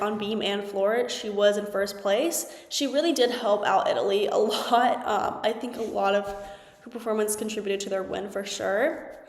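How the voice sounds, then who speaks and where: A young woman hosting a sports podcast, stuffy from a cold, chatting casually with lots of "like" and "um". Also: medium pitch. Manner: chatty, frequent "like" and "um" fillers. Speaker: podcast host. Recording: echoey room with long reverb.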